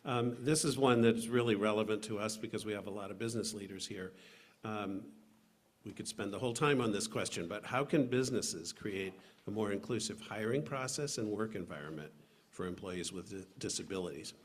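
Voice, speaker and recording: A man's voice: on the low side, brisk. A man moderating a panel, asking questions politely. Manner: courteous, wrapping up.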